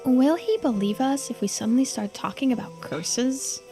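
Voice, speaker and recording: A woman's voice: high voice, normal speed, warm tone, soft background music, lightly acted. A woman voicing a cautious, sensible companion in a mystery game, in a questioning, hesitant way. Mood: doubtful.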